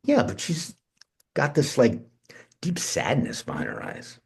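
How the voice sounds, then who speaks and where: Husky, animated American man an actor playing him. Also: mid-range voice, measured pace, full character voice. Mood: eager, concerned. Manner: casual, animated, swearing. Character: Benji, amped, impulsive, foul-mouthed American male cousin. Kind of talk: screenplay table read.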